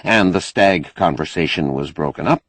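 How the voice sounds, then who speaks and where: A man reading fiction as an expressive audiobook narrator. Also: sits low, moderate pace, dry recording.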